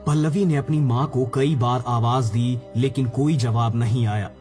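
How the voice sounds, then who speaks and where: A man narrates a scripted horror tale as the storyteller. His pitch sits in the middle range for a man. Music plays beneath his voice. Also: fairly even intonation, normal speed, a little mellow. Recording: music under the voice.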